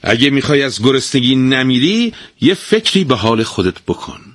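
A man's voice: medium pitch, very animated, no room sound, pitched above the performer's own voice. A man voicing an overworked, exasperated wife in a storybook folk tale, in a raised, scolding voice. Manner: pleading then shouting, scolding. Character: weary, exasperated, nagging wife. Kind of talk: storybook reading.

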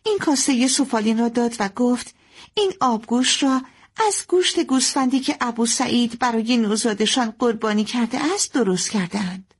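Woman voicing an earnest, high-pitched young daughter. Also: husky. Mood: earnest, informative. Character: polite, dutiful young bride-to-be, daughter.

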